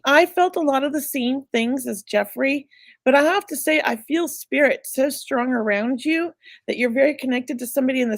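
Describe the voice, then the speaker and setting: A woman hosting a live psychic reading, chatty and reassuring, going a bit hoarse as she loses her voice. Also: high voice, no echo. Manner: chatty, reassuring, many filler words. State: losing her voice. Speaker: psychic medium host.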